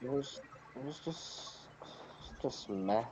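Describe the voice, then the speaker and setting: A man's voice: mid-range voice, expressive, takes it slowly, a little mellow, slightly rough. A man giving blunt, unimpressed opinions as a panelist in casual review chat. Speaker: podcast panelist. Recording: dull lo-fi mic with faint noise.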